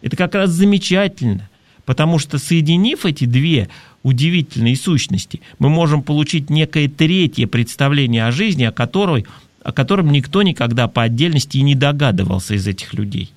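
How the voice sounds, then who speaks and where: Man hosting a talk, fairly high voice. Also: expressive, fairly quick, no echo. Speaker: talk show host. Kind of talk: conversational advice talk, reading listener messages.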